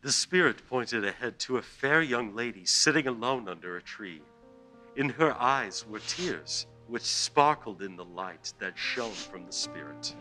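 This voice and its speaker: A man's voice: fairly low pitch, animated, unhurried, pushed and bright, soft background music, rough recording. A man playing the narrator of a Victorian Christmas radio play, telling it as scripted storytelling. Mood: descriptive.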